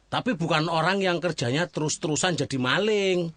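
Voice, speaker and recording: A man's voice: on the high side, moderate pace, a bit bright, no room sound, studio quality, natural voice. An actor playing a stubbornly loyal male employee in an audio drama. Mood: defensive, insistent.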